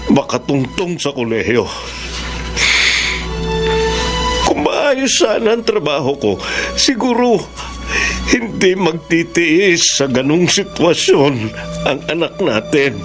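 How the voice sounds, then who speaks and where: Casting: guilt-ridden Filipino dad, middle-aged, radio drama; halting and heartfelt, very expressive range, slow delivery. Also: male voice, on the high side, over loud music. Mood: regretful, tearful. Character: weary, guilt-ridden middle-aged father worrying over his daughter.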